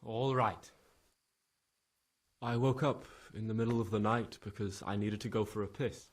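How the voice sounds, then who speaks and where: A man playing a frightened young stable lad in a game cutscene, stammering and halting, with a husky voice. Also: on the low side, steady tone, close to an everyday voice. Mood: shaken, haunted. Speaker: video game character, witness being questioned. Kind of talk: dramatic game cutscene acting.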